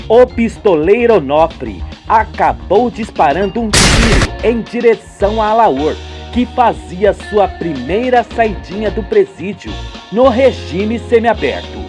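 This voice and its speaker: Brazilian guy narrating a radio soap, really animated pitch, slow pace, bit of music. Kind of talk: dramatic scripted narration.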